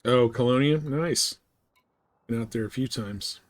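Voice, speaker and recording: A man's voice: medium pitch, unhurried, no room sound. Casual male game streamer, close dry sound. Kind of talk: casual chat while playing a space game.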